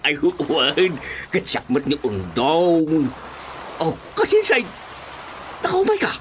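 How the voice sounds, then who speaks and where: Actor as excitable rural cousin in Ilocano comedy radio drama: slow, wildly swooping voice, stutters, exclaims, noisy ambience. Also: male voice, a little high, heavily acted. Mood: surprised.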